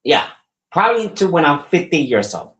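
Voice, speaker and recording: A man's voice: fairly high pitch, sing-song, brisk, fairly bright tone. A man in his forties hosting a podcast, talking casually and upbeat, often repeating his words as he rambles. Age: 40s. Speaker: podcast host and wrestler. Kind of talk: casual solo podcast talk.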